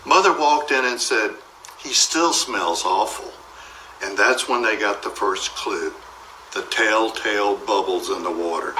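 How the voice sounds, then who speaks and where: A man narrates a children's storybook with a bright, forward voice. He reads expressively and acts out the dialogue. The room adds a clear echo, and there is faint noise behind him. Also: lowish voice, normal speed, recorded from a distance. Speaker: storybook reader.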